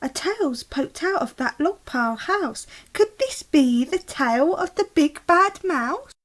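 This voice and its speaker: A brave, curious little monster girl, voiced by a woman, piping up in a very high, eager voice. She sounds small and excited, like a storybook child creature. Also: slow pace.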